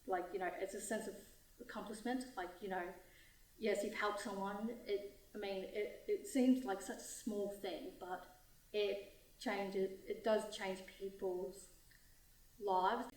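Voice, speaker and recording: A woman's voice: mid-range voice, steady tone, a little slow, a little mellow. Woman, chatty, lots of fillers, casual interview, echoey distant mic. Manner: chatty, full of "you know". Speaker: volunteer.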